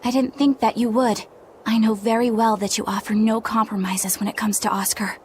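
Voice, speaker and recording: A woman's voice: fairly high pitch, level tone, normal speed, darkish tone, slight background noise, natural voice. An actress playing an earnest young woman exorcist who speaks in a composed, sincere way. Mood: resolute. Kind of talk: game voice acting.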